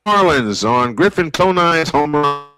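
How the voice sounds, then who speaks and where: A man's voice: a little high, animated, a little slow, over music. A man announcing a baseball game live, mixing old-school play-by-play with casual asides. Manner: old-school sportscaster delivery.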